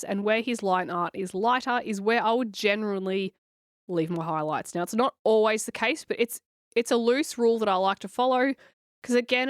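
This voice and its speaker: A woman, hoarse and scratchy from a cold, talking through a coloring tutorial in a chatty, encouraging way. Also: medium pitch, no echo, studio quality. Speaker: coloring channel host.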